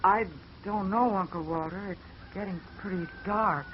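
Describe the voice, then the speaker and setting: A woman's voice: medium pitch, unhurried, slight background noise, close to an everyday voice. A woman playing a sullen young farm girl. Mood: guarded. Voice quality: curt, guarded. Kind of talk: 1940s radio acting. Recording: muffled old radio broadcast.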